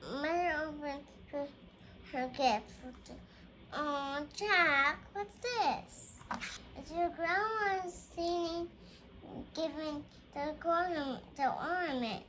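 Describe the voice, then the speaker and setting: A child reading a Christmas picture book aloud, halting and stumbling over words. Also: high-pitched, bright, forceful tone, a little hiss or hum.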